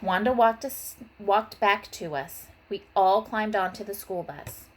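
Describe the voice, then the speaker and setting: A woman teacher reading a children's storybook aloud in an expressive way. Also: mid-range voice, unhurried, a little hiss or hum, low-quality recording. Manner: expressive, voices the characters' dialogue. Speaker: elementary school teacher.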